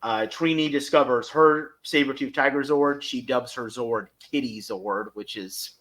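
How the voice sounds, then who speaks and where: A man co-hosting a podcast, recapping and reviewing episodes casually in a halting, piecemeal way. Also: medium pitch, a bit bright, poor audio. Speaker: podcast co-host.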